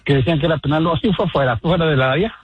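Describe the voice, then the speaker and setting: Male Mexican caller, husky and slightly high, rambling banter, muffled phone-call sound. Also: racing, no echo. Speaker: regular caller to sports radio show. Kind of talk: casual chat. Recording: dull phone call audio.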